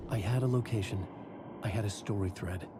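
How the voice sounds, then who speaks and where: An actor playing a brooding, desperate male crime writer, narrating his own nightmare in deliberate first-person noir style in a game. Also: lowish voice, heavy background noise, natural voice.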